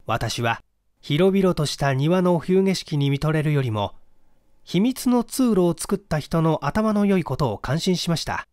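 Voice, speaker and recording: A man narrates a detective story with expressive, measured phrasing. His voice sits a little high and swings widely in pitch. The sound is close and dry, like an audiobook. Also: no echo. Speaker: audiobook reader, stage actor. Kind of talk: dramatic scripted narration of a classic detective story.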